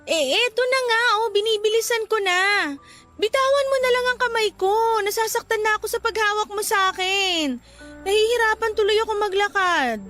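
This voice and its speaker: Casting: gentle, anxious young woman, Filipino accent, very high pitch, radio drama, light music bed. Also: normal speed. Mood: pained, pleading. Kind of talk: Tagalog radio drama acting.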